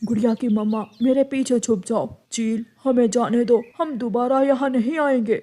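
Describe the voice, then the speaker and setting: Woman as a mellow-voiced father bird. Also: fairly high pitch, moderate pace, close to an everyday voice. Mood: protective. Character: calm protective father songbird.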